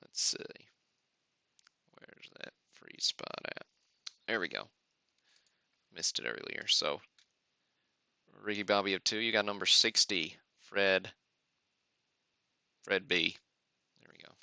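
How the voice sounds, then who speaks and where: A man's voice: low voice, slow, vocal fry, long pauses, pushed and bright, smooth, no echo. A man hosting a live card-opening stream with casual, off-the-cuff commentary and muttered asides to himself. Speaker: host of a sports card break livestream.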